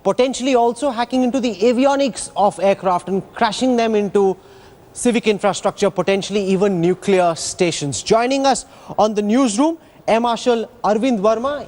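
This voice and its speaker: A man's voice: high voice, moderate pace, darkish tone. A man with an Indian accent anchoring a broadcast news interview, asking probing questions with dramatic phrasing.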